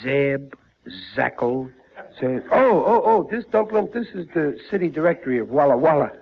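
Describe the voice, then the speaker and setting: A man's voice: fairly high pitch, animated, moderate pace. An actor playing a stammering, gullible, easily flustered working-class family man in a 1940s radio comedy. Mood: muttering, stubborn. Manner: stammering, exclaiming, exasperated. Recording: muffled, noisy 1940s radio recording.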